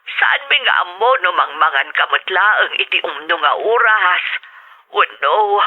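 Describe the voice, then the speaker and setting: A woman's voice: fairly low pitch, unhurried, narrow, tinny audio. An actress with an Ilocano accent playing a worried, loving older mother back home, tender and fretful, heard through a telephone filter in a radio drama. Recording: thin, band-limited telephone sound.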